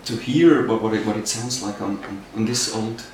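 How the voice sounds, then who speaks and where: A man's voice: fairly low pitch, normal speed, a little mellow. A man from Austria with an Austrian accent, a native German speaker, explaining a project as a sound artist. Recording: roomy echo, faint noise.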